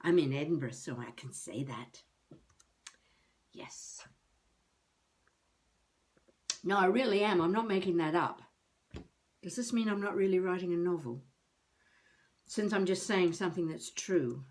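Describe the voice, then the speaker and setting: A woman playing a feisty elderly would-be novelist, rambling wryly and haltingly through a comic monologue as a video diary. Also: lowish voice, animated, darkish tone, close to an everyday voice. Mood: wry musing. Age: older. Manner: wry, musing, halting, talking to herself.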